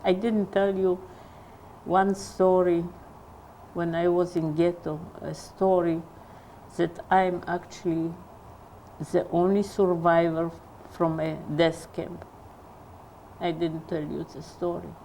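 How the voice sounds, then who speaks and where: An older woman, giving an oral history interview, halting and searching for English words. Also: on the low side, dark tone, a little hiss or hum, low-quality recording.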